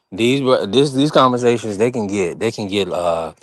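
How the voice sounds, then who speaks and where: A low-voiced man from North Carolina hosts a live boxing stream, speaking firmly and a little preachy. The sound is dry and close, with no room around it. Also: moderate pace, dry recording. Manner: firm, preachy, talks over others. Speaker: channel host. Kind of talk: heated casual live-stream chat.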